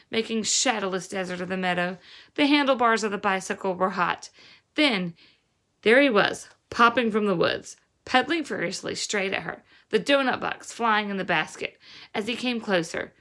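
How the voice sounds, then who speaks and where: A woman reads a children's novel aloud. Her voice sits at a middling pitch, and she narrates expressively as a storyteller. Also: normal speed, fairly bright tone. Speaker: reader, teacher-like storyteller.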